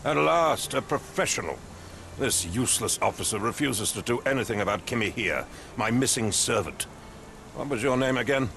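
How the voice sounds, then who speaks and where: An actor playing an indignant, haughty captain and demanding master in a video game, imperious and irritable. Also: male voice, mid-range voice, expressive, normal speed, gravelly, a bit bright, a little hiss or hum. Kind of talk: video game cutscene acting.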